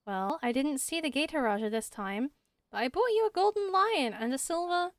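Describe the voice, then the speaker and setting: A woman voicing a kindly, protective young village woman in a game, gentle and mildly scolding. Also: high voice, animated, moderate pace, no echo, studio quality.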